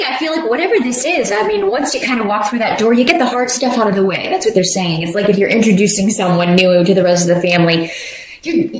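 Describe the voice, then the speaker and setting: Woman, tarot reader, casual rambling talk, lots of fillers, animated pitch, roomy echo. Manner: rambling, with many fillers and restarts. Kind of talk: casual tarot reading monologue.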